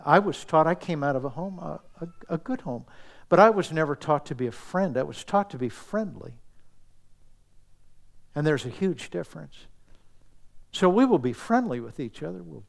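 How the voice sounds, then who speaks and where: A man preaching a sermon in an earnest, intimate way, dropping to soft, near-whispered asides. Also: a little high, expressive, normal speed, creaky. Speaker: pastor.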